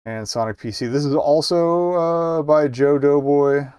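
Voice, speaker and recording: A man's voice: on the high side, a little slow, a little mellow, smooth, no room sound, clean studio sound. A man giving casual live game commentary as a streamer, rambling and hesitant, with muttered asides.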